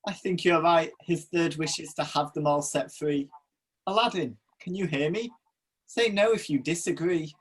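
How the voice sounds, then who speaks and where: A man playing an obliging pantomime genie, declaiming in rhyme with a husky voice. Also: on the high side, normal speed. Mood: concerned. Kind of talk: rhyming amateur pantomime acting.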